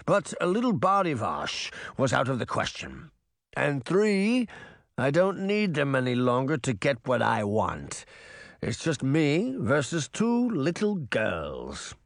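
Guy doing a sly evil mirror spirit for an audiobook, mocking, fake friendly, pitch jumps all over. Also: no echo, studio quality, heavily acted. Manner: taunting, mock-friendly, theatrical. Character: sly, mocking villain mirror spirit possessing an old woman's body. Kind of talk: audiobook character voice.

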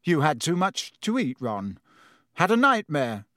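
A man's voice: fairly high pitch, huge pitch swings, a little slow, pushed and bright, no echo, clean studio sound, exaggerated voice. A man voicing a pompous, bossy older teenage boy, an officious and dismissive school prefect in a storybook.

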